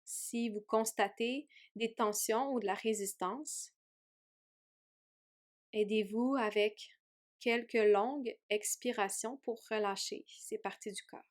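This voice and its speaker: A woman yoga teacher leading a guided meditation in a gentle, soothing way with long pauses. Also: a little high, steady tone. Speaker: yoga teacher guiding a relaxation.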